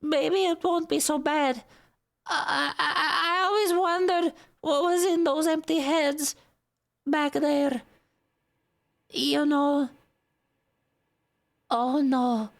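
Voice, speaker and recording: A performer, heard as a woman, voicing a nervous, doomed caller leaving a halting, stammering horror game phone message in a cartoonish voice. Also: high-pitched, fairly even intonation, vocal fry, a bit bright, voice pushed up, full character voice. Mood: tearful dread.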